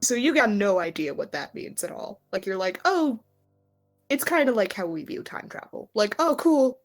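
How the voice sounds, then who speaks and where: A woman's voice: mid-range voice, big pitch swings, moderate pace, faint music behind. A woman casually narrating a tabletop role-playing game as game master.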